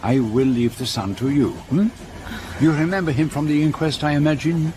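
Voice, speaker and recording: A man's voice: on the high side, mellow, a little hiss or hum, lightly acted. A man playing a shrewd, courteous, self-assured foreign detective in a radio drama, speaking formally and precisely. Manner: formal, precise, measured questioning. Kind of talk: radio drama acting and first-person narration.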